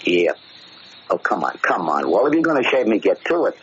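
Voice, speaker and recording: Brash gangster, swooping, slangy delivery. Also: male voice, fairly low pitch, sing-song, brisk, fairly bright tone. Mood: brash, impatient. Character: cocky tough-talking male gangster in hiding. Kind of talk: 1940s radio drama acting. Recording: muffled, hissy 1940s radio recording.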